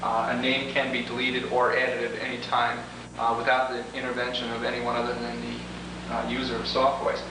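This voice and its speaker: Man, product demonstrator, mid pitch, instructional, measured and formal, far from mic, hissy humming old recording. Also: completely flat delivery. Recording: distant, echoey, noisy 1980s demo tape with hum.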